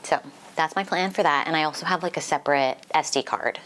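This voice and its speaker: Low-voiced young woman vlogger, slightly congested. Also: a little fast, fairly bright tone, slight background noise. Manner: informal, giggly, peppered with "like". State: recovering from flu, slightly congested. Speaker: book vlogger. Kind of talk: casual chatty life-update vlog.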